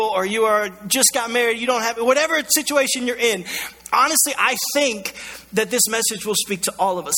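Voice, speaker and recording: A man's voice: high voice, bright, forceful tone. A man with an American accent preaching a sermon in an emphatic, colloquial way, repeating phrases, his voice scratchy and strained. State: scratchy, strained voice going hoarse. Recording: long hall reverb.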